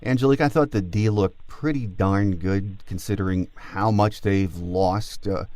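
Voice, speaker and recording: A man's voice: sits low, moderate pace, darkish tone, dry recording. An American man hosting a sports podcast, talking conversationally as he sets up questions for a guest.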